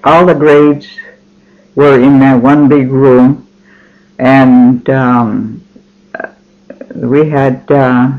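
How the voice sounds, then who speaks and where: An older man whose first language was Finnish speaks slowly and haltingly, often pausing on "uh" and restarting his sentences. His voice sits at an ordinary middle pitch. The recording is dull and lo-fi, like an old tape. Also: level tone. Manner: slow, halting, many ums and restarts. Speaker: interviewee. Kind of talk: oral history interview.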